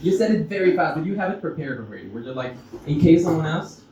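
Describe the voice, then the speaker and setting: A Mexican-accented man, native in Spanish, does amateur stand-up in a very echoey, noisy room. His fairly high voice swings up and down as he stops, restarts and plays with the audience. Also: sing-song, quick pace. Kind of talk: stand-up comedy with audience interaction. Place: live stage in a roomy venue. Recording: echoey noisy room, distant muffled pickup.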